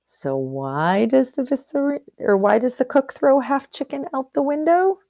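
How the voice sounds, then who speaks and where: A woman's voice: sits high, animated, moderate pace, vocal fry, warm tone, no echo, studio quality. A woman teacher doing a storybook read-aloud, explaining and posing questions as she goes. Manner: explanatory, asks and answers questions.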